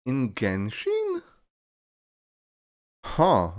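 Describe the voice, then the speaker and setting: A man's voice: mid-range voice, huge pitch swings, drawn-out words, no echo, studio quality. A man hosting a reaction video, giving casual commentary and thinking aloud in an informal way.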